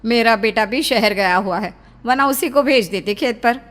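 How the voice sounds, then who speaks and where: A woman's voice: a little high, moderate pace, a bit bright, lightly acted. Woman acting as concerned village wife, reverberant. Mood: worried. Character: worried middle-aged village mother and wife. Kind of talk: Hindi horror audio story acting. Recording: long reverb.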